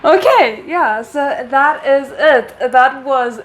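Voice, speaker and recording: A woman's voice: sits high, lively intonation, slow pace. A woman hosting a reaction video in casual chat, enthusiastic and gushing about what she watched.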